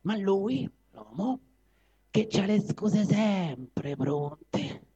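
A man's voice: sits high, lively intonation, extremely slow, warm tone, slightly rough, full character voice. A man doing a sly, knowing snake. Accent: Roman. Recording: dull live recording.

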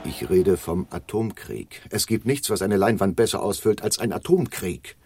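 A man playing a slick, theatrical small-time operator pitching an idea in a radio drama, with dramatic emphasis and pauses. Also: soft background music. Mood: dramatic, persuasive. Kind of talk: 1980s radio drama acting.

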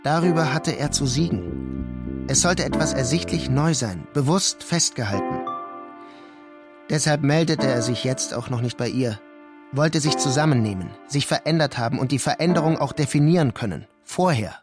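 A man reading scripted literary narration for a radio play in a measured, deliberate way. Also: mid-range voice, sing-song. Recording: prominent music under the voice. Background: music.

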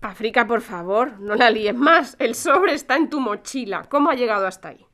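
A woman's voice: on the high side, expressive, moderate pace, a bit bright. A woman acting a pushy, accusing figure in an audio drama, bossy and sardonic.

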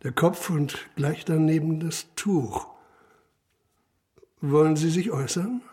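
Dogged inspector, husky, fairly high voice, measured, dryly insistent, crime radio drama. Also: male voice, darkish tone. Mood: probing, insistent. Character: dogged, probing male police inspector in a historical case. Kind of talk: radio drama dialogue and sober first-person narration.

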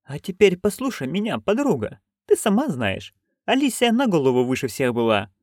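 A storyteller voicing a chatty, coarse, bawdy old witch woman who rambles loudly. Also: mid-range voice, huge pitch swings, moderate pace, no echo, clean studio sound, pitched above the performer's own voice. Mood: stunned.

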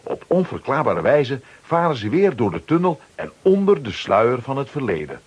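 A man's voice: medium pitch, very animated, moderate pace, husky, muffled recording. A man with a Flemish accent narrating a children's story in a dramatic scripted style.

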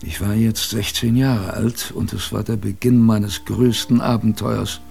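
An actor playing an old seafarer in a radio drama, colloquially reminiscing about his youth in a gravelly voice. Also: fairly low pitch, fairly even intonation, a little mellow, faint music behind, heavily acted. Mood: fond reminiscing. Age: older. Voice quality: gravelly, creaky. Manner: colloquial, unhurried raconteur.

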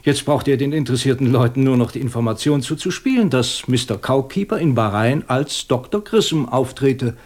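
An actor playing a shrewd, composed police inspector in a German radio crime drama, questioning methodically with dry superiority. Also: male voice, mid-range voice, animated, brisk, hardly any pauses, darkish tone, natural voice. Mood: probing, confident. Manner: methodical, probing, dryly superior.

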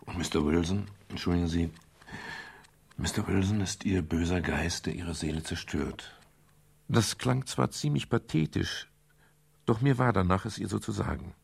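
An actor playing a brooding private detective who narrates his case in a measured, melancholy, literary way in a radio crime drama, with a gravelly, husky voice. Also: sits low, no echo, full character voice. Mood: earnest. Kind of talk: 1970s German radio crime drama, first-person narration.

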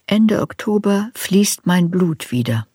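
An actress playing an older woman writer who soberly looks back on her youth in a measured, reflective radio drama narration. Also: lowish voice, expressive, mellow, slightly rough, no echo, studio quality, heavily acted. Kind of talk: literary radio drama narration in first person.

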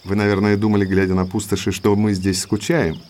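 An actor playing a genial, bookish naturalist in an audio drama, courteous, talkative and polished. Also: male voice, on the low side, level tone, brisk, darkish tone, slight background noise, lightly acted. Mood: sociable, courteous. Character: genial bookish male naturalist neighbour, eagerly sociable.

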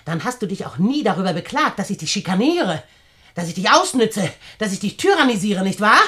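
An actress playing a domineering, wealthy old aunt in a German radio play, sarcastic and condescending. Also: female voice, medium pitch, very animated, a little fast, fairly bright tone. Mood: cold, accusing.

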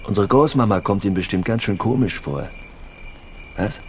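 An actor in a radio drama playing a sly, awkward country fellow with halting, needling, colloquial talk. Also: male voice, a little hiss or hum, lightly acted. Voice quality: very low, creaky.

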